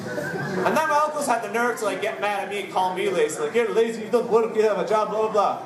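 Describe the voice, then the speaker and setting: A man's voice: high voice, fast. A man from the United States doing stand-up, rambling and self-mocking with plenty of fillers. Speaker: stand-up comedian. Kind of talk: stand-up comedy set. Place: large indoor venue. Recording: echoey room, distant mic, loud crowd.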